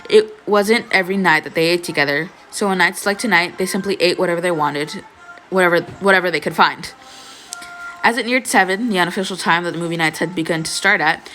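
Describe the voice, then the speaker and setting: A woman's voice: lowish voice, sharp tone. A young adult woman reading fan fiction as an amateur narrator. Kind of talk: expressive scripted story narration, casual asides.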